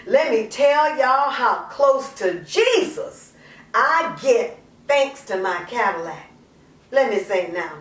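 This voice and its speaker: A woman performing a dramatic monologue as a raw, confessional, crack-addicted mother, in halting, colloquial, emphatic speech. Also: high-pitched, a bit bright, heavily acted. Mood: boastful, confiding. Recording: roomy echo, distant microphone.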